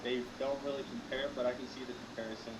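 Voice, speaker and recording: A man's voice: mid-range voice, little pitch movement, quick pace. A young man from Los Angeles, a podcast guest, answering in a laid-back way with short, agreeable replies. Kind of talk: casual interview chat. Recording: echoey room with noticeable noise.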